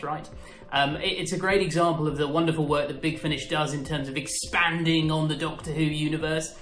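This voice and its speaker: A man's voice: fairly high pitch, fairly bright tone, echoey room. A man speaking enthusiastically in a monologue, with emphatic stress on his adjectives. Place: indoor room at home.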